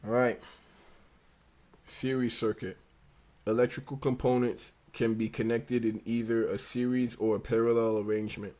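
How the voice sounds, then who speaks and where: A man's voice: mid-range voice, flat delivery, moderate pace, mellow. A young man with a slight lisp, hosting a casual livestream and reading an engineering textbook aloud, stumbling and rereading lines. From United States. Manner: laid-back, slangy, stumbles and rereads lines. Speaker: livestream host studying engineering.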